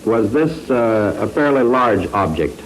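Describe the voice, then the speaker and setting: A man on a 1940s radio quiz panel, asking questions in precise, measured phrases. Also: fairly low pitch, animated. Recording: faintly noisy vintage radio broadcast.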